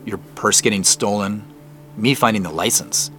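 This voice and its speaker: An actor playing an awkward, apologetic man in an audio drama, speaking in a halting, naturalistic way. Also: fairly low pitch, fairly bright tone, soft background music, close to an everyday voice. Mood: hesitant, concerned. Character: awkward, apologetic man investigating a family mystery.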